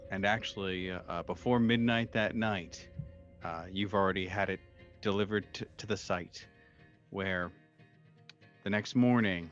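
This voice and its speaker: Low-voiced man narrating, slightly dull audio. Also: fairly even intonation, a little slow, long pauses, fairly bright tone, faint music behind. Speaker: game master. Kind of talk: improvised tabletop game narration.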